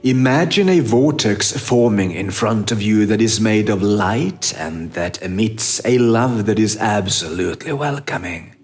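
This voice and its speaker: A man narrating a scripted guided meditation in a measured, instructive manner with long pauses. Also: lowish voice, lively intonation, faint music behind.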